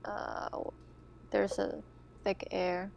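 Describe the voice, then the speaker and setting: A woman plays a tabletop roleplaying game, speaking very slowly with a gravelly fry in her voice. She is casual and hesitant, and the recording is dull and somewhat noisy. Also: lowish voice, big pitch swings, a little mellow. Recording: dull lo-fi mic with background noise.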